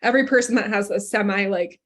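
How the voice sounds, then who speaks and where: A woman's voice: mid-range voice, quick pace, a bit bright. A young woman hosting a podcast, chatting casually and filling her sentences with "like". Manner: chatty, with fillers and laughs. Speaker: podcast host. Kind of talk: casual chat.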